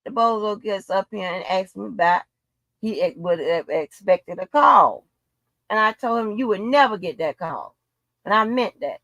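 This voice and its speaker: A woman's voice: medium pitch, expressive, normal speed, fairly bright tone, dry recording, dull audio. A woman in an unscripted livestream rant, blunt, profane and confrontational, repeating phrases for emphasis. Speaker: livestream host.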